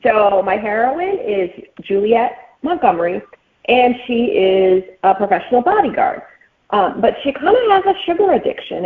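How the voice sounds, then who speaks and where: Phone-quality audio, woman author being interviewed, chatty and informal, medium pitch. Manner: informal explaining with frequent "you know". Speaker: author guest. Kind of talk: casual interview chat. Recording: thin band-limited call sound.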